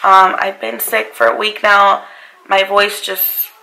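Hoarse, raspy-voiced young woman vlogger. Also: medium pitch, little pitch movement, slow, pushed and bright, poor audio. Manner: chatty, apologetic about her voice. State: hoarse from a cold. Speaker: beauty vlogger. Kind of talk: casual makeup review. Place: bedroom vanity, indoors.

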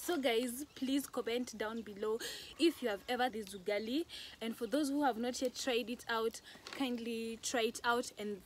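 A woman's voice: on the high side, normal speed. East African woman, noisy outdoor recording. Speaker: cooking vlogger. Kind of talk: casual cooking demonstration.

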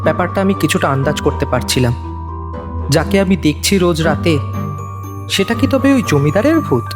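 Male narrator, high voice; tense, hushed first-person horror delivery. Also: very animated, brisk, music in the background. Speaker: narrator and lead teacher.

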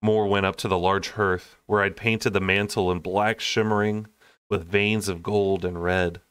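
A young man reads a story aloud. His voice sits a little low. He narrates expressively in audiobook style, and the recording is dry. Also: flat delivery, a little slow, a bit bright, clean tone, no room sound. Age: young adult. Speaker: storyteller reading a novel aloud.

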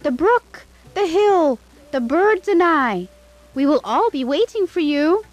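A woman's voice: high voice, sing-song, slow, lo-fi sound, pitched above the performer's own voice, exaggerated voice. A woman voicing an honest, loyal young shepherd in a storybook tale, pleading and emotional. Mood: calling out.

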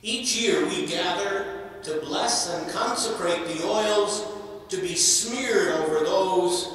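An older man, an archbishop, preaching a sermon slowly and deliberately from a lectern microphone in a large cathedral. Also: high-pitched, a bit bright. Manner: slow, measured preaching with long pauses. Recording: echoey cathedral from far off.

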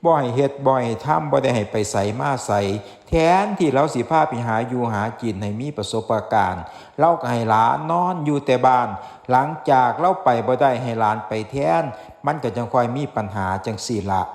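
A man's voice: medium pitch, moderate pace. A man with an Isan accent narrating a folktale, folksy and speaking straight to his listeners. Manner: folksy, addressing listeners directly. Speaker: folktale storyteller. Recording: music behind the voice.

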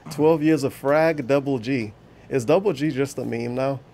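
A man's voice: medium pitch, normal speed, vocal fry, mellow, a little hiss or hum. A man giving teasing running commentary over a stream, laughing often and reading chat aloud.

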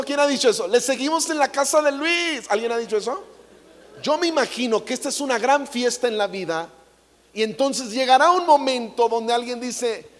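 A man's voice: very high-pitched, fairly bright tone, slight background noise. Mexican guy preaching on a big stage, playful, lots of jokes, echoey hall. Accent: Mexican Spanish. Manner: playful, jokey, acts out quotes. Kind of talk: comedic stage sermon. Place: large theater stage. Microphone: handheld mic. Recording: long hall reverb.

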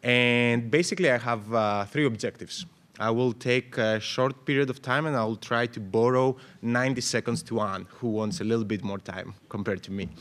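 A man's voice: mid-range voice, normal speed. A man in his thirties from Greece, giving panel remarks at a conference, speaking with lots of fillers and restarts into a table microphone. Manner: animated, gestures a lot, many fillers and restarts. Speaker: panel moderator. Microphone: table mic on stand.

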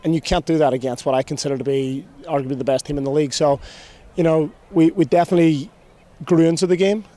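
A man in his thirties, a head coach, giving a measured post-game interview outdoors at a stadium at night into a handheld mic. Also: fairly high pitch, steady tone, mellow. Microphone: handheld mic held below chin.

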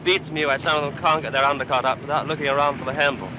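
An actor playing a senior flight officer briefing pilots in a brisk, matter-of-fact way. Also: male voice, on the high side, steady tone, noisy background, lightly acted. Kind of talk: 1940s British film acting.

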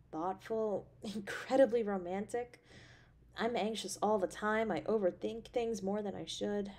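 A woman playing an affectionate, anxious, playfully teasing girlfriend in a romantic audio roleplay, with a tender, expressive delivery. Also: mid-range voice. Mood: soft, grateful. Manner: tender, expressive, with sighs and soft chuckles.

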